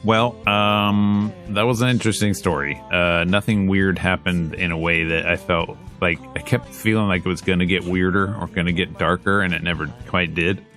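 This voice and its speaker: A man's voice: deep voice, fairly even intonation, moderate pace, few pauses, bright, forceful tone, faint music behind. A man giving casual, hesitant, rambling commentary as the host of a game playthrough series.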